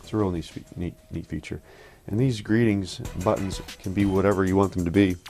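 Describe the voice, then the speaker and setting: A man demonstrating an office product in a casual, halting, think-aloud way, like a hands-on walkthrough. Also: low-pitched, steady tone, vocal fry, darkish tone, soft background music. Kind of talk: casual hands-on phone system demo.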